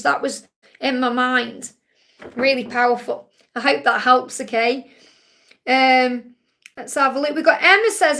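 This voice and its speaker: A woman's voice: sits high, creaky, sharp tone. A woman in her forties hosting a live question-and-answer stream, reading viewers' messages and giving reassuring spiritual readings in a sing-song, affirming manner. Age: 40s.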